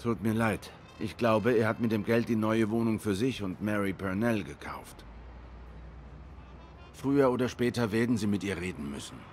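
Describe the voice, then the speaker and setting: Man playing a deep-voiced brooding detective tomcat. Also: normal speed, darkish tone, slight background noise, lightly acted. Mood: sober, probing. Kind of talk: noir game dialogue acting.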